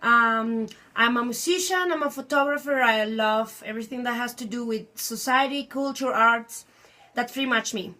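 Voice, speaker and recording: Colombian woman, twenties, high voice, native spanish speaker, thoughtful, pauses to find words. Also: pushed and bright. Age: 20s. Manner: thoughtful, searching for words with fillers. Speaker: English teacher. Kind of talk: personal talk on language learning.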